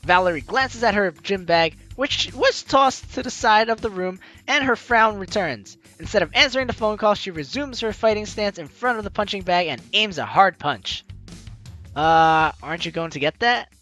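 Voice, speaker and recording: A woman's voice: medium pitch, lively intonation, moderate pace, fairly bright tone, soft background music. A woman hosting a gaming video, reading game text aloud with playful, theatrical asides and casual commentary.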